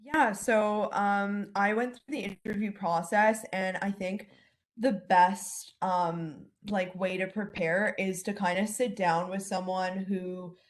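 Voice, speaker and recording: A woman's voice: medium pitch, steady tone, slow pace. A young woman, an alumna, giving earnest advice on a video call, with many fillers. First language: English.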